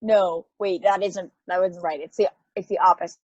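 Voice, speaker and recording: Woman reading a husky, bewildered machine mind. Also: fairly low pitch, fairly even intonation, a little mellow, no echo, dull audio, natural voice. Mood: puzzled, searching. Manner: halting, stammering, apologetic, self-doubting. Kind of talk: amateur table read of a stage play.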